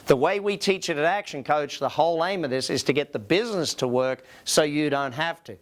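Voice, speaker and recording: Male business coach, Australian, voice on the higher side, emphatic seminar presenter who works the audience with questions. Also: fairly quick. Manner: emphatic, rhetorical questions to listeners.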